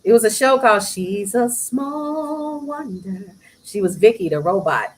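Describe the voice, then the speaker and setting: A woman who sings, talking casually as an interview guest, soft-spoken with bursts of laughter. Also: expressive, a little slow. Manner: soft-spoken, breaks into laughter and high mimicry. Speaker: singer guest.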